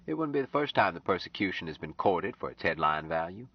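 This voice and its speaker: A man doing a gruff, sardonic older man. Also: sits low, big pitch swings, a little fast, heavily acted. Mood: dry. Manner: dry, blunt, grumbling. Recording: slightly dull lo-fi audio.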